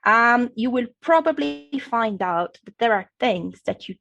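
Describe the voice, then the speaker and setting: A woman's voice: on the high side, expressive, measured pace, gravelly, fairly bright tone, highs cut off, faint music behind. An Italian woman speaking English with an Italian accent, a linguistics professor patiently explaining to an interviewer as a guest. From Italy. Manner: patient teacherly explaining, often checks with "okay?".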